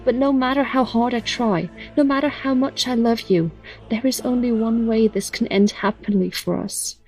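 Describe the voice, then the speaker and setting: Earnest young heroine played by a woman, highish voice, audio drama, some music behind. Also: fairly even intonation, normal speed, a little mellow, natural voice, poor audio. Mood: pleading. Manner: earnest, emotive delivery. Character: earnest, resolute young heroine, loving and pleading. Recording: music under the voice.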